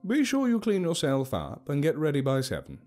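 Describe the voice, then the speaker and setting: A man's voice: a little high, huge pitch swings, brisk, darkish tone, faint music behind, pitched above the performer's own voice. A man voicing a jovial, courtly older man with a fatherly, old-fashioned manner in an audio story.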